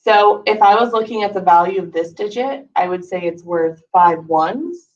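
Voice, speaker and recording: A woman's voice: medium pitch, moderate pace, a little mellow. A woman teaching a recorded math lesson to first graders, talking patiently and counting aloud, her voice slightly scratchy from allergies. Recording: lo-fi, voice a bit far from the mic.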